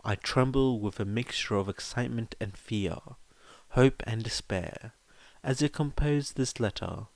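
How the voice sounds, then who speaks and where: A man's voice: mid-range voice, unhurried, darkish tone, no room sound, close to an everyday voice. A man voicing an anxious young woman reading out her formal, confessional writing in an audiobook. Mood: solemn, nervous.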